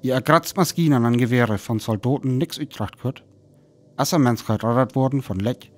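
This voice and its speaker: A man's voice: mid-range voice, moderate pace, close to an everyday voice. An actor with a North Frisian accent playing a reflective male survivor narrating his account of a Martian war in a solemn radio play style. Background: soft music.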